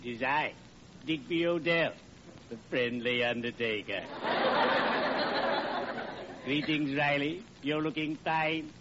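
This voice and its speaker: An actor playing a genial, morbidly courteous undertaker with stately, over-formal diction in 1940s radio comedy. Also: male voice, a little high, slow, long pauses, over a noisy crowd. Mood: morbidly cheerful. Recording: hissy, muffled vintage radio recording.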